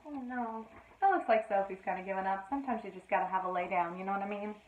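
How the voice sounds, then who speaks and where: A woman reading a children's story aloud in an expressive teacherly style, with playful asides to her listeners. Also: medium pitch, quick pace, slight background noise. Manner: addresses listeners with playful questions, dramatic emphasis. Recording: roomy, echoey, narrowband sound.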